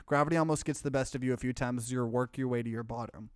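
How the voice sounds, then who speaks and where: A man's voice: medium pitch, fairly even intonation, fast, darkish tone, smooth, no echo, clean studio sound. A man doing casual let's play commentary, reading game text aloud and reacting to it as a streamer.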